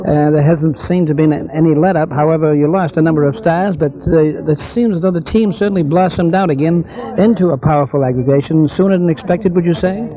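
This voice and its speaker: A man's voice: on the high side, fairly quick. A man hosting a 1970s radio sports interview, speaking in effusive, formal phrases with hesitant questions. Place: busy club. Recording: dull vintage radio recording, crowd murmur.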